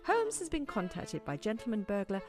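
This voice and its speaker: A woman's voice: mid-range voice, huge pitch swings, moderate pace. A woman playing the narrator of a comic radio drama, reading a dramatic scripted recap. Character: announcer-style story narrator, dramatic. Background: loud music.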